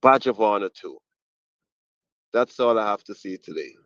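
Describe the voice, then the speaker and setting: A man's voice: on the low side, animated, normal speed, a bit bright, no echo. Muffled male talk-show caller, emphatic. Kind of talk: opinion talk on a call-in show. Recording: muffled, dull call audio.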